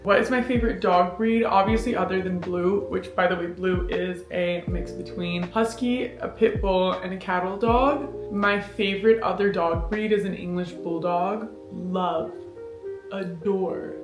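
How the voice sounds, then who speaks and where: A woman's voice: on the low side, steady tone, unhurried. A woman in her twenties whose first language is Farsi, chatting casually to camera in a Q&A vlog, candid, laughing often and swearing freely. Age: 20s. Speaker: vlogger. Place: small apartment living room, indoors. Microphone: camera in front, seated framing. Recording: echoey room with music behind.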